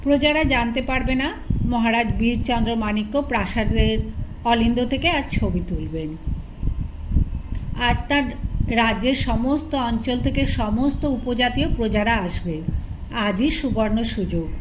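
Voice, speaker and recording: High-pitched woman narrating a story. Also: unhurried, noisy background. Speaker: audiobook narrator.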